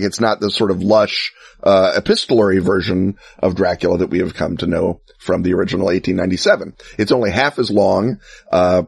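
A man's voice: lowish voice, gravelly, breathy edge, no echo. A man co-hosting a casual chat show, explaining at length with enthusiasm, wry asides and frequent fillers. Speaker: podcast co-host.

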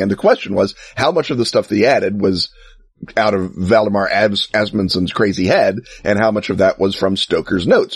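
A man with a slightly low, husky voice talks through his subject with plenty of enthusiasm and wry humor. His telling is loose and chatty, full of ums and uhs. The sound is dry and close, with no room around it. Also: no echo. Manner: enthusiastic, wry asides, many fillers. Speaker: podcast co-host. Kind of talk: casual explanatory chat.

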